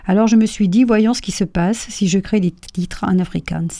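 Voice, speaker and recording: Mellow-voiced woman interpreter. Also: mid-range voice, normal speed. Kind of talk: live consecutive interpreting.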